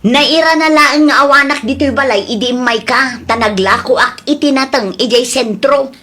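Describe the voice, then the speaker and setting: An actress in a radio drama playing a worried wife who protests earnestly. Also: female voice, high-pitched, moderate pace, sharp tone.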